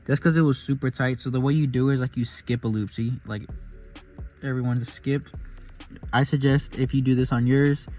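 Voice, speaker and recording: Man, car vlogger, laid-back, rambling, slangy, middle-pitched voice, faint music behind. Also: fairly even intonation, moderate pace, darkish tone. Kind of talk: casual how-to vlog narration. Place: in and around his car at home.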